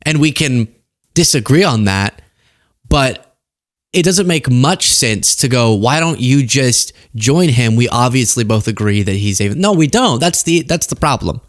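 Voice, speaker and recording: A man's voice: medium pitch, animated, measured pace, dry recording, clean studio sound. A man hosting casual political commentary in a wry, conversational way. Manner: wry, conversational, reading messages aloud mockingly. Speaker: political commentator and show host.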